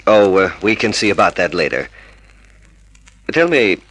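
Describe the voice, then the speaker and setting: An actor playing a scheming con man who poses as a private detective with measured, reassuring talk, in an old-time radio drama. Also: deep voice, very animated, fairly bright tone, heavily acted, rough recording. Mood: reassuring, probing. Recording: vintage radio drama recording.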